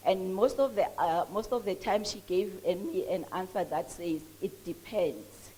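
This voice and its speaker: A woman's voice: medium pitch, a little slow, dark tone, breathy edge, low-quality recording. A South African woman with a Mpumalanga Swati accent, giving a chatty, self-deprecating launch speech with many restarts.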